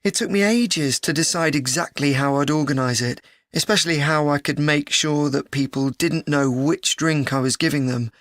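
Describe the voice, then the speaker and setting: A woman's voice: low voice, normal speed, few pauses, dry recording, close to an everyday voice. A woman playing an earnest university student in a scripted educational dialogue. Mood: earnest, thoughtful. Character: earnest university student discussing a joint presentation.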